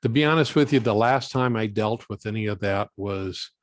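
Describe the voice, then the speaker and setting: Low-voiced man explaining in halting steps. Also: no echo. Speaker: guest presenter and volunteer coordinator. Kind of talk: informal question-and-answer after a talk.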